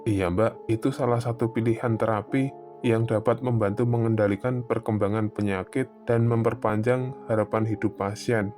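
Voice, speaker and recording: Man acting calm doctor over music. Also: fairly low pitch, moderate pace, close to an everyday voice. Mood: calm, sympathetic. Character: calm, reassuring male doctor, formal and patient. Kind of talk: animated horror drama dialogue. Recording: voice over prominent background music.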